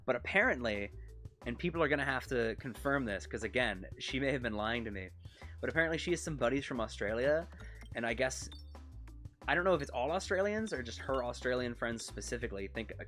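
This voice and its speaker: A man with a Canadian accent chatting casually on a game stream, rambling and talking quickly, seated at his desk close to a mic. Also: medium pitch, fairly bright tone. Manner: rambling, quick-talking, self-conscious about his pronunciation. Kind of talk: casual live-stream chat over gameplay. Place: seated at his desk, leaning back in a chair. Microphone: desk mic set close to his mouth.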